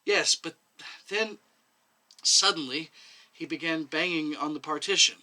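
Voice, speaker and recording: A man's voice: fairly high pitch, unhurried. Man reading as a meek, hesitant woman medic. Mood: resigned. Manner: quiet, hesitant, plain-spoken. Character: meek, defensive woman medic under questioning. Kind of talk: dramatic short story reading.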